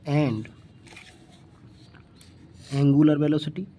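Slow, mellow Indian male physics tutor. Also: fairly high pitch, steady tone. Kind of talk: step-by-step worked-problem lesson.

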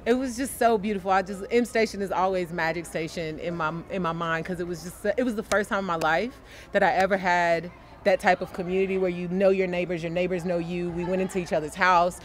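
A young woman from Dallas, chatty and animated, telling a personal story as an interviewee outdoors on a sunny lawn. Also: fairly low pitch, brisk, a little hiss or hum. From Dallas, Texas. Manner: chatty and animated, gesturing with her hands. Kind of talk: casual storytelling.

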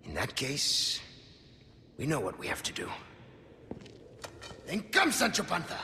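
Flamboyant, lively Spanish charmer. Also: male voice, on the high side, a little slow, in a large hall, slight background noise, heavily acted. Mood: playful theatrical. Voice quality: playful, theatrical manner. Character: flamboyant, cocky, teasing Spanish ladies' man researcher. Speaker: video game character actor. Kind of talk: dramatic video game dialogue.

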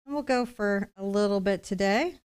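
A middle-aged woman leads a live painting lesson in a smooth, clear voice at a medium pitch. She talks conversationally, explaining each step as she goes and adding chatty, reassuring asides. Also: normal speed, darkish tone. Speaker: painting instructor, host.

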